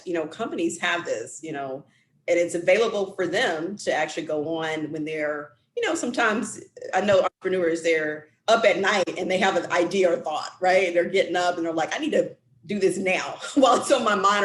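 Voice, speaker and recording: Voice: medium pitch, moderate pace, fairly bright tone, roomy sound, a little hiss or hum. A trade specialist explaining exporting over a video call, upbeat and often adding "right?". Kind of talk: explanatory chat.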